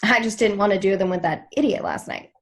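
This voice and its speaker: A woman's voice: medium pitch, fast, natural voice. A woman acting a breezy, sassy young woman in a table read of a television drama, with casual teasing delivery. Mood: playful. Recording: video call table read.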